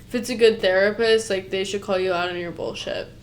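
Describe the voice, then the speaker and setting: A woman's voice: mid-range voice, steady tone, a little fast, a little hiss or hum. A woman reading a post aloud and breaking off into blunt reactions that swear casually, sometimes stumbling and restarting mid-sentence. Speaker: co-host reacting to a Reddit post.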